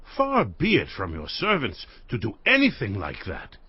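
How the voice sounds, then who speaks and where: A man's voice: fairly low pitch, huge pitch swings, normal speed, husky, no echo, heavily acted. An actor playing an earnest ancient servant indignantly protesting his innocence in a dramatized scripture recording. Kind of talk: dramatized scripture audio acting. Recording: dry, slightly dull studio recording.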